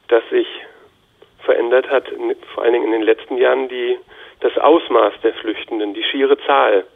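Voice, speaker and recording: Man with tinny phone-like sound, gravelly. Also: mid-range voice. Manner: measured, academic, long sentences. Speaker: university lecturer in political science. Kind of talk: explanatory interview talk.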